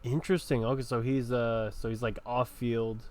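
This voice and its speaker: A man's voice: medium pitch, level tone, moderate pace, a little mellow, a little hiss or hum. A man hosting a gaming video, giving informal playthrough commentary as he thinks aloud.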